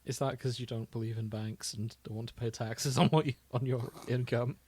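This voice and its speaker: A man's voice: medium pitch, steady tone, brisk, dark tone, slightly rough, dry recording. A man co-hosting a podcast in casual comedic chat, joking and giggly. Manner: jokey, giggly. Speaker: podcast co-host.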